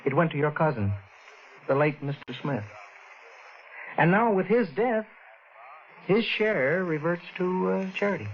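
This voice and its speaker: An actor playing a polite, prim record shop clerk who hesitates, in 1940s radio acting. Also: male voice, sits high, lively intonation, a little slow, long pauses. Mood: hesitant. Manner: polite, hesitant, quietly threatening. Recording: dull hissy old radio recording.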